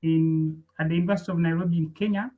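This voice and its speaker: A man with a notably high voice speaks over a muffled, lo-fi video call. He introduces himself and his research with earnest enthusiasm, as a doctoral student. The audio sounds dull and narrow. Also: fairly even intonation, fast talker. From Uganda. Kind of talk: introducing himself in an online meeting.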